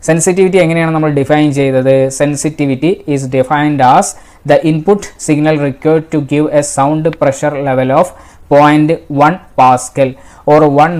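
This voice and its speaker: Female instructor, Malayali accent, low-pitched voice, didactic exam-prep lecture delivery with deliberate emphasis on key points. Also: darkish tone. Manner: didactic, asks and answers own questions, repeats key points. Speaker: engineering instructor.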